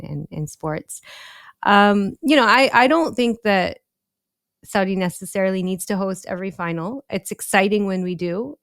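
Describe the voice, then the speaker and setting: A woman's voice: lowish voice, no echo, clean studio sound. A woman co-hosting a podcast, talking through news in a casual, hesitant way with many ums and restarts. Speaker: podcast co-host.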